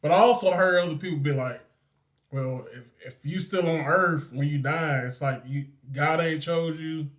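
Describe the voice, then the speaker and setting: A co-host who talks in a loose, easygoing way, leaning on fillers. His voice sits slightly high for a man. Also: moderate pace, fairly bright tone. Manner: loose, chatty, many fillers. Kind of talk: casual chat.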